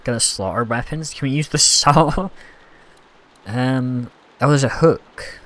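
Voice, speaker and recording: A man's voice: mid-range voice, slow pace, a bit bright. A Scottish man chatting casually and rambling as he streams a game, reacting aloud to what happens on screen. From Scotland. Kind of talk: casual live-stream commentary.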